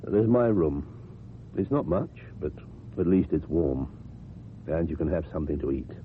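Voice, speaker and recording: A man's voice: extremely low pitch, lively intonation. An actor playing a reserved, lonely, well-educated ex-convict with a British accent, kindly but wary and formal in his speech, in a radio drama. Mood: concerned. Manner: formal, measured, precise speech. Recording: muffled, noisy old radio recording.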